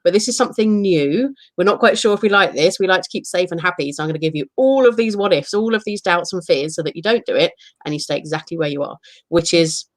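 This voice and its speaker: A woman's voice: lowish voice, fast talker, no echo. A woman in her thirties, co-hosting a casual chat and telling candid, self-deprecating stories. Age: 30s. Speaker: podcast co-host.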